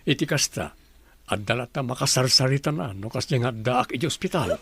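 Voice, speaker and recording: A man's voice: medium pitch, expressive, fairly quick, fairly bright tone, husky, no room sound. A man playing a courteous, caring head of the household in a radio drama. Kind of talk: Ilocano radio drama acting.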